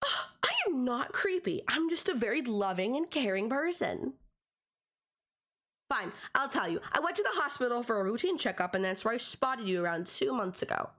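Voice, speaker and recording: A woman's voice: fairly high pitch, sing-song, normal speed, a bit bright. A woman playing a possessive, teasing young stalker in an audio roleplay, talking straight to the listener in a conversational, condescending yet affectionate way.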